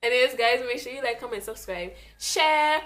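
A woman's voice: fairly high pitch, animated, normal speed, a bit bright. A young woman hosting a casual vlog at home, playful and laughing often as she chats. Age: young adult. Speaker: vlogger host. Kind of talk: casual chat trying out accents. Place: small indoor room at home.

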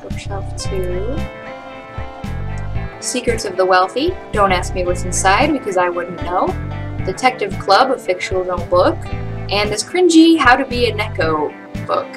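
Young woman, unhurried tutorial narration, informal, matter-of-fact, medium pitch, guitar music behind. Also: lively intonation. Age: young adult. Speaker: tutorial creator and channel host. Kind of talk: software tutorial narration with casual asides.

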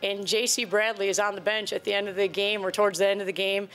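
A woman's voice: medium pitch, level tone, fast talker, fairly bright tone, soft background music, low-quality recording. A woman in her forties, a head basketball coach, answering reporters' questions at a postgame press conference. She talks earnestly and conversationally into a table microphone, often repeating a word as she restarts a sentence. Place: press conference room before a sponsor backdrop.

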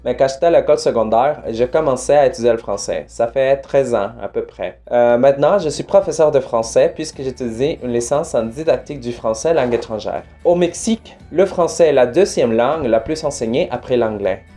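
Mexican male teacher, mellow tone, Spanish accent, webcam intro. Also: medium pitch, fairly quick, few pauses, soft background music. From Guadalajara, Mexico. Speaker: French teacher. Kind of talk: casual self-introduction.

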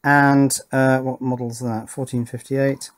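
A man's voice: medium pitch, flat delivery, unhurried. A man chatting casually as he explains his circuit board build at a home workbench, with wry asides along the way. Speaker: electronics hobbyist presenter.

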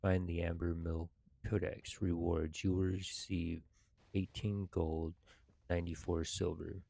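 A man in his thirties who hosts gaming videos, reading game text and commenting in a measured, halting, casual way. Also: low voice, little pitch movement, creaky, dark tone, no room sound. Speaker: gaming video host reading quests aloud. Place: indoors at a computer.

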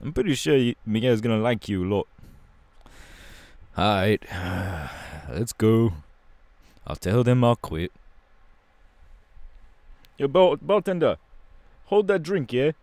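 A man voicing a cocky, laid-back young punk superhero with a London accent, slangy and halting, in an ASMR audio roleplay. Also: mid-range voice, sing-song, darkish tone, dry recording. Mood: grudging, casual. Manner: casual, slangy, halting, irreverent.

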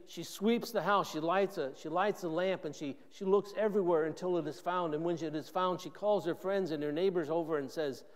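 Older pastor guy in his 60s, chatty sermon, keeps restarting lines, big echoey church. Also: a little high. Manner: conversational, thinking aloud with frequent restarts. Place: church sanctuary. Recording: long church reverb.

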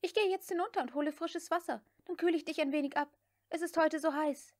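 A woman voicing a headstrong, impatient young Southern woman in an audiobook, speaking in a brisk, commanding way. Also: high voice, voice pushed up, close to an everyday voice. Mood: falsely reassuring.

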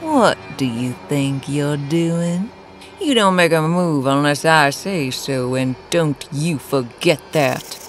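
Man as menacing genteel woman, cooing malice, very unhurried, horror audiobook, light music bed. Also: high-pitched, animated, a bit bright, pitched above the performer's own voice, exaggerated voice. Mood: coldly commanding. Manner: slow, cooing, mock-genteel taunting. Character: caustic, menacing veiled woman, sweetly malicious Southern kin. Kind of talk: audio horror story character dialogue.

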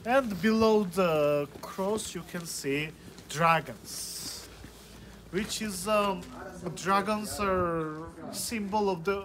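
A male tour guide with a Georgian accent and a fairly high voice talks informally to visitors. He explains in simple, halting phrases and puts questions to them. The recording is made outdoors, with clear background noise around him. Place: outdoors beside an old stone church and fortress. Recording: noisy outdoor phone video.

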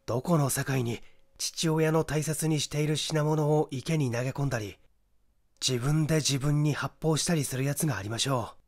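A man voicing an irritable young man accused of a crime, protesting in hurried, clipped phrases in an audiobook mystery. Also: fairly high pitch, pitched below the performer's own voice, natural voice. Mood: indignant disbelief. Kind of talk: dramatic audiobook mystery acting.

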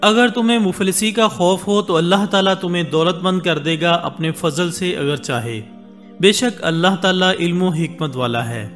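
A man with a high voice reads a scripted documentary narration in a measured, formal way. Soft music plays under him throughout. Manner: measured, formal Urdu delivery with Arabic religious phrases. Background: soft background music.

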